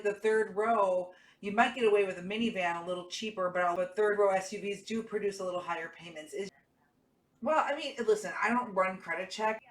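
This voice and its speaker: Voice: medium pitch, fairly bright tone. A car finance salesperson talking conversationally on a sales call, explaining step by step in a persuasive way and often checking in with the listener. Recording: echoey room, distant from the mic.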